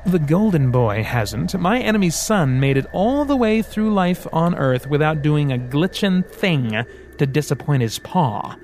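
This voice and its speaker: A man voicing a smooth, sarcastic male devil who teases and threatens by turns in an audiobook. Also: a little high, big pitch swings, a little slow, darkish tone, slight background noise, pitched above the performer's own voice. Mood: taunting. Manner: teasing, sarcastic, theatrical. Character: sly mocking male devil, smooth, menacing.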